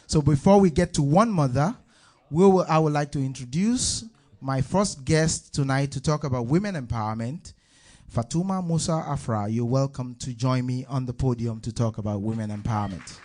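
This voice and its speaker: A man's voice: medium pitch, moderate pace, a little mellow. A man hosting a live talk show on stage at an art venue, introducing guests in loose, chatty patter.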